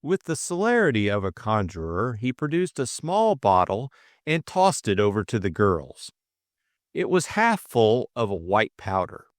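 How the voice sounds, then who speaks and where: A male storyteller reads a classic tale with measured expression. His pitch moves widely over a slow pace, in a close, dry recording. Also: big pitch swings, no echo. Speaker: audiobook narrator. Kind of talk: scripted story narration.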